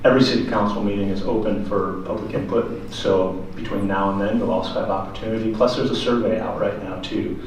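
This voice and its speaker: A man's voice echoes heavily through a meeting room, picked up from far off. He speaks on the low side as the chair of public comment, measured and a little hesitant, explaining things in plain but formal terms. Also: fairly even intonation. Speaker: city council chair running public comment. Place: council chamber at city hall. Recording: echoey meeting room from afar, faint hiss.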